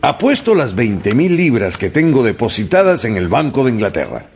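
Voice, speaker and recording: A man playing an unflappable, precise English gentleman in a radio drama, formal, measured and self-assured. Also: mid-range voice, big pitch swings, a little hiss or hum. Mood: confident, resolute.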